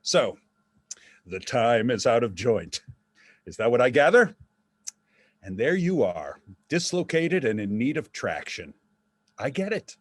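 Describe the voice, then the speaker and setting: A man's voice: mid-range voice, very animated, a little slow, pauses often, no room sound. An actor in a staged play reading, playing a wry, theatrical dead father obsessed with golf. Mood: jaunty, sardonic.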